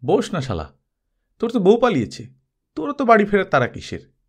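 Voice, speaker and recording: A rude, drunken card player in an audiobook story, acted by a man. He is slurred and jeering, and his pitch jumps up brashly. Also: wildly swooping pitch, brisk, husky, heavily acted.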